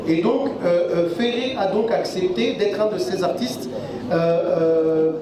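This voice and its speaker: High-voiced man speaking in an echoing hall. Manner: measured, with many fillers. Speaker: streaming platform representative. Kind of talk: press conference statement. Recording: echoey hall, distant mic, crowd noise.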